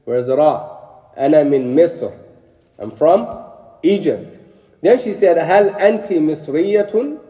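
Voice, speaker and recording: A man teaching beginner Arabic, slowly reading short phrases and explaining each in English, stressing the sounds with care. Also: on the high side, lively intonation, mellow. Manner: stresses sounds carefully for learners. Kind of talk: classroom lesson. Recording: roomy echo, distant from mic.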